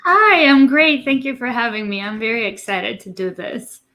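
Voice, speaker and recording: A woman from Romania speaking as an interview guest, conversational and a bit halting as she repeats words while thinking. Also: on the high side, expressive, dull audio. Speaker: interview guest, digital marketing agency president.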